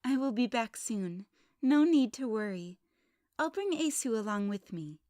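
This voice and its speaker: A woman playing a calm, guarded elf mother in a fantasy audio drama, thoughtful and reassuring. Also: fairly high pitch, measured pace, no room sound, clean studio sound. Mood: pensive.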